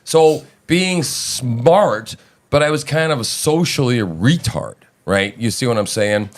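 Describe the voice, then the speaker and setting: A man's voice: mid-range voice, animated, slow pace. A man hosting a live audio talk show, talking casually and emphatically, swearing freely and often asking "right?". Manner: profane, emphatic, keeps checking in with "right?".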